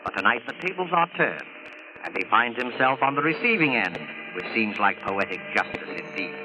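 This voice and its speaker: A man's voice: lowish voice, sing-song, brisk, poor audio. A man giving a scripted, wry, theatrical introduction as a 1940s radio announcer. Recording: old radio broadcast with music.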